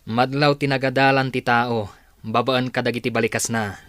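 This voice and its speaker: A man's voice: mid-range voice, level tone, normal speed, fairly bright tone, no room sound, faint music behind, lightly acted. A man acting as a demanding young rich heir in an Ilocano radio drama, with an Ilocano accent. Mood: instructing, bossy.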